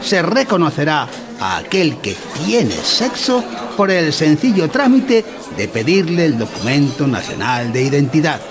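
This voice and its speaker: A man's voice: fairly high pitch, wildly swooping pitch, unhurried, music in the background. A man playing a pompous instructor in a mock-educational radio play, with formal, didactic delivery. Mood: solemn, didactic. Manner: formal, didactic, solemn wording. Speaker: narrator in an audio drama.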